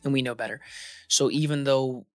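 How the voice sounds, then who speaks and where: A man's voice: mid-range voice, moderate pace, no echo. A man working as an air traffic controller talks informally in an interview, telling stories with slang and acting out bits of dialogue. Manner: animated, informal, uses slang and quoted dialogue. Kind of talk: casual conversational storytelling, acting out radio exchanges.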